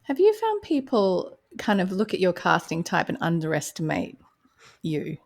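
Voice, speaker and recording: A woman's voice: on the low side, huge pitch swings, moderate pace, gravelly. A woman hosting a podcast, interviewing in a casual, conversational and affirming way. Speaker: podcast host.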